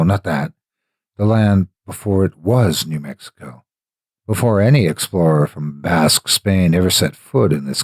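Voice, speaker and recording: Male horror audiobook reader: low, gravel-edged husky voice, ominous and deliberate delivery, very dry studio sound. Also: darkish tone, dry recording. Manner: deliberate, ominous dramatic phrasing. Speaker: horror story narrator. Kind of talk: scripted dramatic horror narration.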